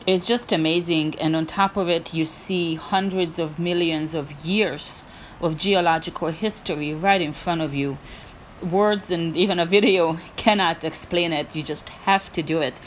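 A woman's voice: on the low side, slight background noise. A woman narrating her hiking vlog in a casual, earnest, encouraging way, with small restarts. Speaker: hiking vlogger.

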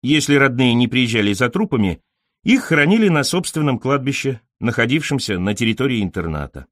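A man reading a first-person literary story as an audiobook narrator, in a measured, expressive storytelling manner on a close studio microphone. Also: medium pitch, dry recording.

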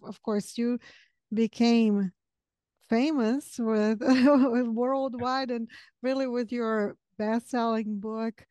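A woman's voice: fairly high pitch, darkish tone, clear, resonant tone, dry recording. A middle-aged woman from southern Brazil with a Brazilian accent, hosting a conversational interview close on a podcast microphone. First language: Portuguese. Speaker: podcast host. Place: home study with bookshelves, video call. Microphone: podcast microphone on boom arm, close.

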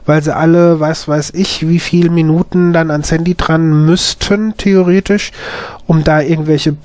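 A man with a mild cold hosts a casual, unscripted game commentary. His voice sits a little high. He often hesitates to find his words, and the sound is very dry, with no room echo. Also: fairly even intonation, measured pace, darkish tone. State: has a slight cold. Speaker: gaming video host.

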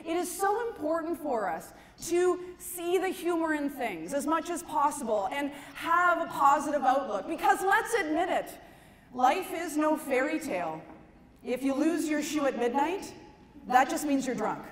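A middle-aged woman with a high-pitched voice performs a comedy talk on stage. Her delivery is emphatic and conversational with dramatic pauses, through a handheld mic in an echoey hall with some background noise. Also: lively intonation. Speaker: comedian, keynote speaker. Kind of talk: stand-up comedy talk to an audience. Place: large conference ballroom stage. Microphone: handheld microphone.

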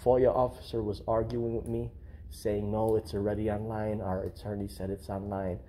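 A man's voice: fairly low pitch, flat delivery, moderate pace, dark tone, slight background noise. A man auditing public records, chatting in an upbeat, friendly way into a body-worn camera in a large office lobby. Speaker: records auditor filming a town hall visit. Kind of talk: casual on-camera chat. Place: large open-plan office lobby indoors, then outdoors.

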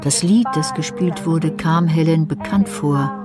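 A woman narrating a dark crime radio play in a composed, scripted style. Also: low-pitched, measured pace, loud music behind the voice.

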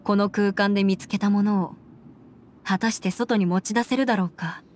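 A woman's voice: medium pitch, fairly even intonation, moderate pace, darkish tone, slight background noise, natural voice. A woman voicing a clever, businesslike young female consultant in an anime game, with matter-of-fact, precise, formal wording. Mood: serious, businesslike. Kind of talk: Japanese anime game voice acting.

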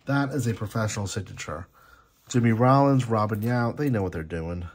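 A man with a gravelly, husky voice giving casual, chatty, appreciative running commentary as he hosts a card-opening video at a table indoors. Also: fairly low pitch, fairly quick. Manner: chatty, appreciative, reading names aloud. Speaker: host opening sports card packs.

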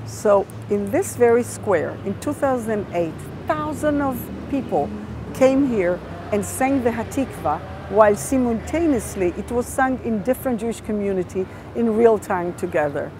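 A woman in her sixties with an Israeli accent, talking to camera on location outdoors in a lively, enthusiastic way. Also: a little high, moderate pace, background noise. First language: Hebrew. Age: 60s. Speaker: presenter. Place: outdoors in a large city square. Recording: clear background music.

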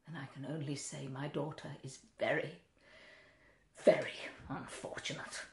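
A woman's voice: extremely low pitch, little pitch movement, moderate pace, vocal fry, reverberant, in a whisper. An actress playing an anxious, genteel older mother-in-law in a British period drama, insistent and pleading. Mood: pleading, indignant.

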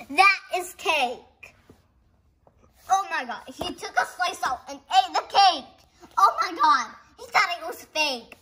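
A young girl reading a picture book aloud haltingly, with giggly, exclaiming casual asides. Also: high voice, pushed and bright. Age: child. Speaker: host of a read-along video.

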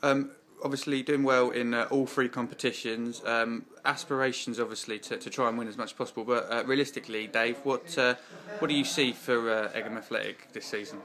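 A young English man hosting a jokey post-match interview for a club channel, halting with many fillers and teasing his guests. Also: mid-range voice, steady tone, a bit bright.

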